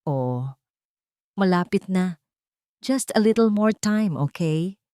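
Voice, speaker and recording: Female narrator as scheming Filipino husband in audio drama, coolly reassuring and dismissive, animated intonation, dry sound. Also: fairly low pitch, slow, smooth, dry recording. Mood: secretive, reassuring. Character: deceitful, smooth, dismissive husband, a businessman.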